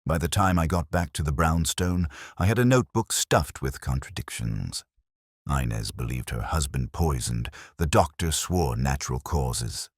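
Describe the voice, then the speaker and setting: A man's voice: a bass voice, expressive, normal speed, husky, no room sound, clean studio sound. A man reading scripted first-person hardboiled detective narration as an audiobook narrator.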